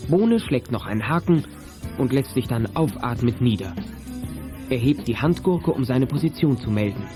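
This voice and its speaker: An actor as the narrator of a suspenseful German youth detective radio play, reading scripted narration. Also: male voice, mid-range voice, expressive, normal speed, natural voice. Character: male storyteller of a suspenseful youth detective tale. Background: music.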